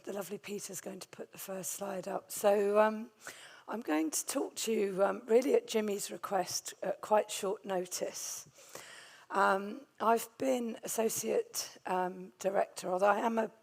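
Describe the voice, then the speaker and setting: A middle-aged woman with a hoarse, gravelly voice from a vocal cord nodule, giving a conference talk on hospital infection control with many hesitant ums and a friendly, self-deprecating manner. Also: medium pitch, steady tone, unhurried. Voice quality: gravelly, strained voice. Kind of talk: conference presentation.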